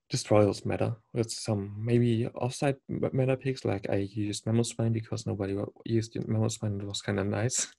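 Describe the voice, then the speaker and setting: A man from Germany speaks with a German accent and a low, gravelly voice. He talks casually as a podcast guest, breaking off and restarting words and chuckling now and then. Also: warm tone. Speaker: podcast guest, competitive game player.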